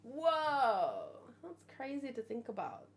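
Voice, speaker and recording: A woman hosting a manga read-along, talking in a casual, expressive, thinking-aloud way. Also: high-pitched, slow, a little hiss or hum. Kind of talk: casual reaction commentary with read-aloud dialogue.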